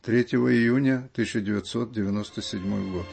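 A man's voice: on the low side, fairly quick, vocal fry, darkish tone, faint music behind. A man reading listeners' letters aloud as scripted radio narration.

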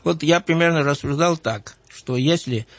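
Older Georgian-accented man, thoughtful and halting. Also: a little high, no echo. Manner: thoughtful, measured, frequent hesitant "uh" fillers. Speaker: writer, interview guest. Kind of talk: reflective interview recollection.

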